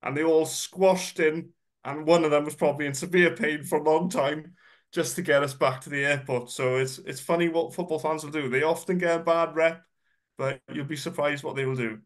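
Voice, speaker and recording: A man's voice: fairly high pitch, steady tone, normal speed. A man gives a chatty, self-deprecating personal presentation, sounding a bit nasal from a cold and using many ums and uhs. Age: 30s. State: congested, slightly nasal from a cold. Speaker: guest speaker.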